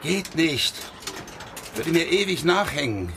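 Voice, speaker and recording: Urgent desperate ex-cop, noisy backdrop. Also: male voice, fairly high pitch, sing-song, slow pace, vocal fry, sharp tone, full character voice. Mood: urgent, grim. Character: desperate Dutch ex-policeman in trouble, urgent, pressing. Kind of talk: German radio crime drama acting.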